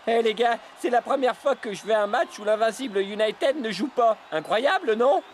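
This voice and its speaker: Very high, chirpy cartoon football fan. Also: male voice, expressive, normal speed, a little hiss or hum. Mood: eager. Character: eager, chirpy male impostor football fan. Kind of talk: French cartoon dubbing.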